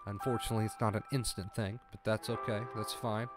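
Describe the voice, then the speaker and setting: A congested man with a somewhat low voice hosts a casual livestream, reading out chat messages and thanking viewers by name. He reassures his audience calmly, repeating key phrases and clearing his throat now and then. Music plays clearly beneath his voice. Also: fast. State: stuffy nose, clears throat often. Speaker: livestream host coaching on anxiety. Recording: music clearly audible behind.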